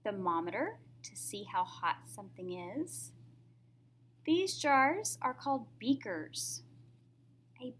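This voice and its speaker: A woman's voice: a little high, animated, slow, fairly bright tone, slight background noise. A woman reading a picture book aloud to young children in a teacherly way, often putting questions to the listener.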